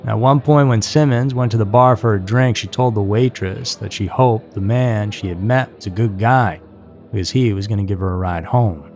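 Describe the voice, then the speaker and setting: A man's voice: fairly low pitch, moderate pace, darkish tone. A man reading scripted true-crime narration as a narrator. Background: music.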